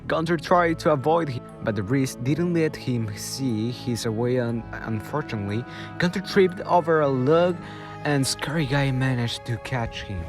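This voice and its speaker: A man's voice: mid-range voice, measured pace, over music. A man giving dramatic scripted narration for a radio drama.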